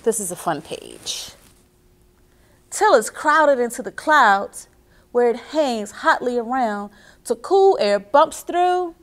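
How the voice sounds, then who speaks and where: A middle-aged woman reading and explaining to children as a story time host, expressive and speaking directly to her young listeners. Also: a little high, slow pace. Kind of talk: children's read-aloud and instruction. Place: small indoor reading nook with bookshelves.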